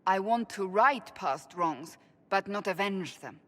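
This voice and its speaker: An actress playing a devout warrior in a game, grave, principled and thoughtful. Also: female voice, medium pitch, animated, unhurried, bright, forceful tone, in a large hall. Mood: reflective, earnest. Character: earnest, devout female warrior, principled and brooding. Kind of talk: dramatic game acting.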